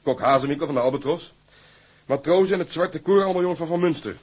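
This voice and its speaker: An actor playing a jovial ship's engineer greeting an old shipmate in a radio drama, his voice husky. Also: fairly high pitch, quick pace, a little mellow. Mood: chummy, teasing.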